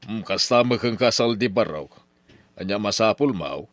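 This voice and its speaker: A man's voice: lowish voice, expressive, normal speed, dry recording, natural voice. An actor with an Ilocano accent playing a scheming, bossy, middle-aged farmer father in an old-style radio drama, grumbling and scolding. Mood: stern, dismissive. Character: scheming, money-minded father, a poor farmer, nagging and bossy.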